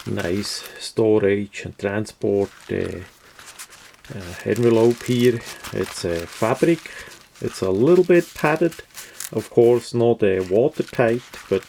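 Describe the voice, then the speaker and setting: A man reviews a product in an unhurried, creaky voice. He is a non-native English speaker with a casual, unscripted style. His delivery is mellow and matter-of-fact, halting often to find the next word. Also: on the low side, slight background noise. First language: not English.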